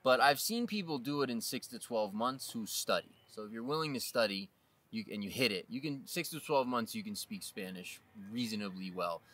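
Bright animated male storyteller outdoors. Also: mid-range voice, a little fast. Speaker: co-host, real estate agent. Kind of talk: casual storytelling. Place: outdoors on a hillside terrace.

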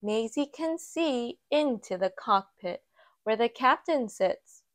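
A woman reads a children's picture book aloud at an unhurried pace, gentle and expressive. Her voice sits a little high, and the recording is close and dry with no room sound. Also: no echo. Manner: slow, gentle and expressive, lifting for quoted lines. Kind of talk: read-aloud storytime narration. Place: indoors at a table, book filmed from above.

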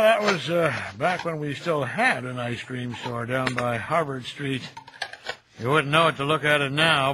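An actor playing a kindly, folksy older cemetery caretaker in an audio drama, chatty and gentle in a homespun, nostalgic way. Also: male voice, fairly high pitch, slight background noise. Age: middle-aged. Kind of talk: naturalistic radio drama dialogue.

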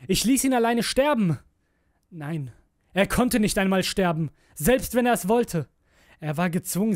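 A man's voice: sits high, no echo, studio quality. A man narrating a scripted horror story in a brooding, theatrical manner. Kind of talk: dramatic scripted narration of a creepypasta.

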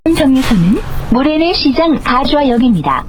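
A woman makes formal, scripted bus announcements in a high voice with a lively, swinging intonation. She enunciates each phrase clearly. Vehicle noise is plainly heard behind her. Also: moderate pace.

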